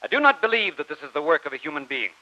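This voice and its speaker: An actor playing a stern, resolute navy commodore with an authoritative, declamatory manner in old-time radio drama. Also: male voice, fairly high pitch, big pitch swings, very fast, dry recording. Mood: grave. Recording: muffled dry old radio recording.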